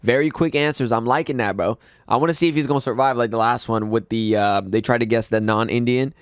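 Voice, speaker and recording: A man hosting a reaction video, talking to viewers in an excitable, slangy, casual way. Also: mid-range voice, fast talker, clear, resonant tone, dry recording, clean studio sound. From Brazil.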